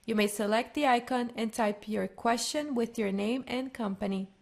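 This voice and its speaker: A woman with a fairly high voice and clear vocal fry moderates a conference call. She reads out scripted instructions and submitted questions in an orderly way. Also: normal speed. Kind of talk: scripted announcements and read-out questions.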